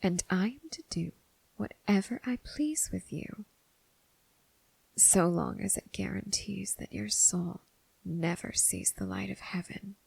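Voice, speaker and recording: A woman voicing a seductive, predatory female demon in a teasing, whispered ASMR roleplay, speaking slowly and intimately to the listener. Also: medium pitch, a little mellow, heavily acted, rough recording. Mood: possessive, seductive. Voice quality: breathy, mostly whispered. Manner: slow, deliberate, teasing, coaxing.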